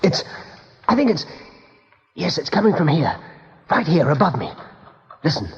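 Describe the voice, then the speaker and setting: An anxious rabbit leader urgently directs his companions with widely swinging pitch. He sounds protective, in a slightly muffled vintage radio play. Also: sing-song, moderate pace, in a large hall. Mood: urgent, worried. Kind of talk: 1980s radio play acting. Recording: dull vintage radio recording, faint music.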